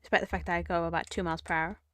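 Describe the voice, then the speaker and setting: A young woman streamer with a croaky, sore voice, chatting casually and muttering to herself while she plays. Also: on the low side, level tone, rapid-fire, a bit bright, dry recording. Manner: rambling, self-mocking, mutters to herself. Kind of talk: casual livestream chat while gaming.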